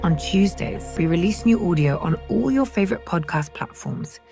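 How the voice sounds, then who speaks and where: A woman's voice: lowish voice, lively intonation, normal speed, vocal fry, warm tone. A woman reading a scripted podcast outro as the announcer. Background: music under the voice.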